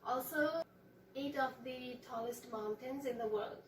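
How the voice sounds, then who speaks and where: Young woman from Nepal, fairly high voice. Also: noisy background. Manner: measured, hesitant, with frequent fillers. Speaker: guest. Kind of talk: casual interview, explaining her culture. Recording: echoey room, voice from a distance.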